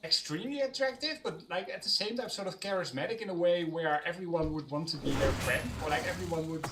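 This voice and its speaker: A man's voice: on the high side, fast talker, distant mic, very noisy. A man chatting on voice chat, hesitant and searching for words as he talks. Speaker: guest on voice chat. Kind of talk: casual chat.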